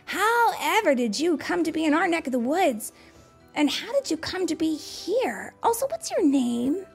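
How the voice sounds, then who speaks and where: A woman's voice: very high voice, sing-song, moderate pace, vocal fry, faint music behind, voice pushed up, heavily acted. A woman voicing Thomas, a cheerful, kind male storybook creature who speaks in an upbeat, hearty way.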